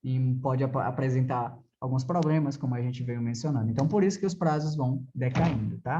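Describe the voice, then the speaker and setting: A man's voice: a little high, noisy background. Brazilian man teaching, slightly muffled audio. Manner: didactic, asks and answers own questions, frequent check-in tags. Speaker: driving theory teacher. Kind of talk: conversational classroom lecture.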